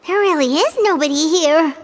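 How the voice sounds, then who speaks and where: An actress voicing a chatty, excitable little floating fairy companion who talks about herself in the third person. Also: female voice, moderate pace, slight background noise. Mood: curious. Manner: refers to herself in third person, squeaky and exclaiming. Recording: game audio with music and long reverb.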